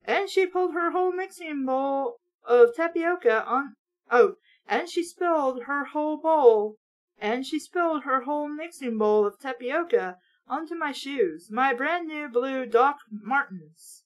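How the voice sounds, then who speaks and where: High-voiced sarcastic woman reading aloud. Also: lively intonation, measured pace, poor audio. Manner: sarcastic, exasperated, sweary, informal. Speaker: host of a mock book-reading show. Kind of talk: sarcastic read-aloud with running commentary.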